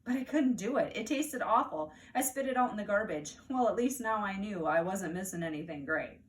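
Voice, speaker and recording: A woman with an American accent reads a children's story aloud as a teacher, animated and deliberate for young listeners. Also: mid-range voice. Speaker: teacher reading aloud to first graders. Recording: distant mic, faint background noise.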